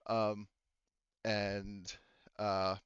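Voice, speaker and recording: A man running a tabletop roleplaying game as game master, narrating casually in a halting, conversational way. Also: lowish voice, level tone, clean tone, dry recording, highs cut off.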